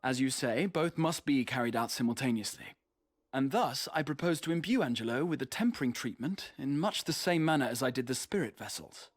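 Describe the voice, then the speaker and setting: A man playing an earnest, courteous scholarly mage in a video game, speaking in formal, measured, archaic phrasing. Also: mid-range voice, animated, no room sound, studio quality, natural voice. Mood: explaining, courteous. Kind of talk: scripted video game cutscene acting.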